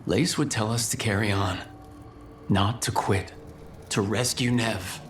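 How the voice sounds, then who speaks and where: An actor playing an earnest, heroic leader in a fantasy game, speaking in a measured, solemn, resolute way. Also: male voice, fairly low pitch, close to an everyday voice. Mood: sombre resolve. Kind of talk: dramatic video game acting. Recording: music behind.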